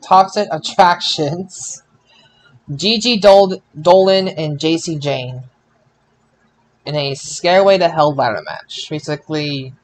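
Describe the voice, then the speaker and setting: A man hosting a casual wrestling review podcast, speaking haltingly with stammered restarts. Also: fairly high pitch, rough recording. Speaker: podcast host.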